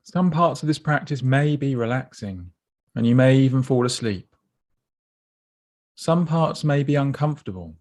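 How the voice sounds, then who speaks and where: Unhurried man guiding a meditation. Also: medium pitch, expressive. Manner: gentle, unhurried, instructive, with pauses. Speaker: meditation guide. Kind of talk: guided body scan meditation.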